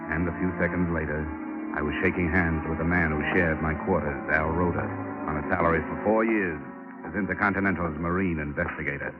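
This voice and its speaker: A man playing a deep hard-boiled insurance sleuth. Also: fairly even intonation, brisk, close to an everyday voice. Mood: dry. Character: tough wisecracking male insurance investigator. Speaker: insurance investigator narrating his case. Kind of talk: 1940s radio drama narration. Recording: muffled old radio broadcast with music.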